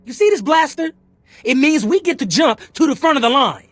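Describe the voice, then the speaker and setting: High, hot-headed gangster. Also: female voice, animated, brisk, dry recording. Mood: challenging. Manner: aggressive, confrontational. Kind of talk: video game cutscene acting.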